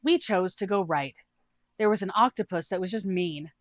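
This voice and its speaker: A woman's voice: medium pitch, moderate pace, no echo, clean studio sound, close to an everyday voice. A woman voicing a friendly, inquisitive rival adventurer in a fantasy story, earnest and a little suspicious. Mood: curious, probing.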